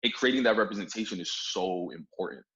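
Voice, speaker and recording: A man's voice: sits low, lively intonation, fast talker, a bit bright. A man hosting a podcast in a laid-back, encouraging way, with lots of fillers. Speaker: podcast host. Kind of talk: casual interview chat. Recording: dull lo-fi sound.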